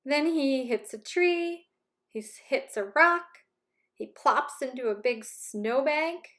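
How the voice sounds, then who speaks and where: A woman narrates a children's storybook with emphatic expression. Her voice is fairly high, and she takes her time over the words. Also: expressive, takes it slowly, a bit bright. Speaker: storybook reader. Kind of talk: children's read-aloud narration.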